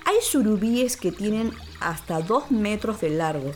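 A woman storyteller narrating for children in an expressive, dramatic way, with deliberate, emphatic phrasing. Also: medium pitch, slight background noise. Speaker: actress, storyteller. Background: music and water sound effects.

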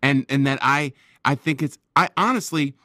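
A man's voice: medium pitch, normal speed, bright, forceful tone, no room sound, clean studio sound. A big-voiced man chatting thoughtfully as a guest on a podcast. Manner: big-voiced, talkative, reflective. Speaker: podcast guest, improv performer. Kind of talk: casual chat.

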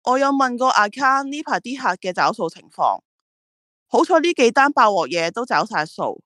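Lively Hong Kong Cantonese agency boss woman. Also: fairly high pitch, normal speed, no echo, studio quality. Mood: businesslike. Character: driven female ad agency boss, proud, reflective. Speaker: radio drama actress. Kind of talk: radio drama dialogue and monologue.

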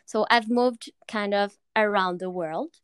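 Young Latin American woman founder, smooth voice. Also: mid-range voice, unhurried, no room sound, studio quality. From Argentina. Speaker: founder of a fitness company. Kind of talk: interview answers.

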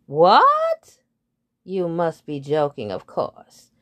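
A woman voicing a snobbish, haughty head bird with a pompous, drawn-out way of speaking. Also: medium pitch, sing-song. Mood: scornful.

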